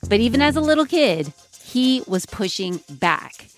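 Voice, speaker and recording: A woman's voice: a little high, big pitch swings, measured pace, faint music behind. A woman co-hosting a podcast, narrating a reported story and interviewing.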